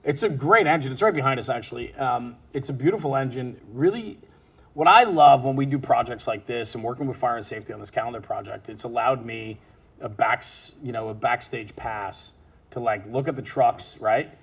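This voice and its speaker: Husky-voiced man hosting an interview. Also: mid-range voice, brisk, fairly bright tone. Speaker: interview host. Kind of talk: conversational interview about fire apparatus.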